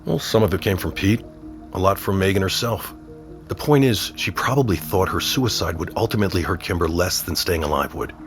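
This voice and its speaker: An actor playing a middle-aged small-town sheriff and father in an audio drama, wry, measured and protective in his talk. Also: deep voice, faint music behind. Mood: grave, gentle. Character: small-town sheriff dad, teasing yet protective and steady. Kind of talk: naturalistic audio drama acting.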